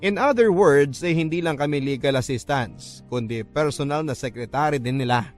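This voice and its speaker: A man's voice: fairly high pitch, normal speed. A man with a Filipino accent narrating a love story in a dramatic, scripted first-person radio storytelling style. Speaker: radio storyteller reading a listener's letter. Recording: music bed under the voice. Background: background music.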